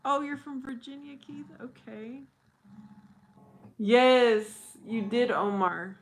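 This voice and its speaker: Slow-talking woman live streamer. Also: on the high side, a little hiss or hum. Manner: chatty, laughs often, answers viewers by name. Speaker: live streamer reading chat. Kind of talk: casual live stream chat.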